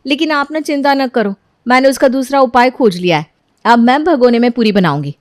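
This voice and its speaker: A young housewife from a moral story , acted by a woman, always justifying herself and ready to argue. Her voice is rather high, recorded dry and close. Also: a little fast, dry recording, natural voice. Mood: defensive, explaining. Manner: self-justifying, argumentative. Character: careless, defensive young housewife daughter-in-law.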